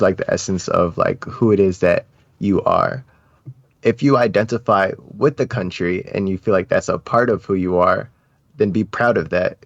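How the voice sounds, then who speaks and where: A young American guy on a podcast, low-voiced and reflective, chatting in slang, close and dry on a slightly muffled mic. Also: creaky, dry recording. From United States. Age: young adult. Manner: informal, slangy, thoughtful. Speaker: interview guest, brand founder. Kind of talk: casual reflective interview talk. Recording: slightly dull, dry audio.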